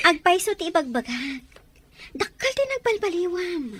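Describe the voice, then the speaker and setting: A woman's voice: very high-pitched, big pitch swings, unhurried, exaggerated voice. A woman playing a playful, teasing confidante in a radio drama, with a giggly manner. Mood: teasing, amused.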